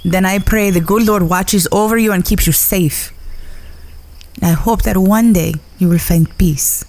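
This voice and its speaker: A woman's voice: mid-range voice, a little slow, a little mellow, close to an everyday voice. A woman playing a tender, motherly older housekeeper with a Spanish accent in a western audio drama. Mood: wistful, tender. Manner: tender, slips into Spanish endearments.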